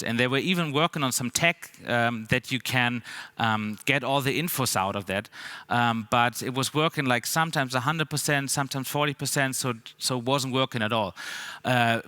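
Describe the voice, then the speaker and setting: A man's voice: mid-range voice, sharp tone, no echo. A man in his thirties with a German accent, giving a conference talk on a large stage through a headset mic, with loose chat full of ums and restarts. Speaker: conference speaker. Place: large dark stage hall.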